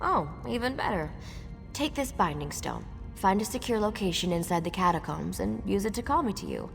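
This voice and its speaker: A woman's voice: medium pitch, expressive, moderate pace, creaky. An actress playing an earnest, purposeful female battle mage in a scripted fantasy game, with music under her. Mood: determined. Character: resolute female battle mage rallying allies. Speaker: game character.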